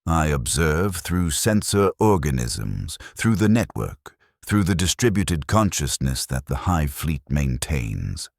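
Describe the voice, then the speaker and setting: A man narrating a scripted first-person sci-fi horror story in a solemn, measured way, in short deliberate phrases. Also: a bass voice, big pitch swings, vocal fry, no room sound, clean studio sound.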